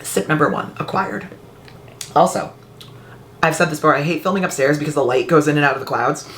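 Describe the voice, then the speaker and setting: A woman book vlogger talking in a casual, heartfelt way, her voice a little raspy and hoarse after being ill. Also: low voice, a little fast, fairly bright tone, a little hiss or hum. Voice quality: slightly raspy. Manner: chatty and heartfelt. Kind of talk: casual vlog chat. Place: upstairs room at home.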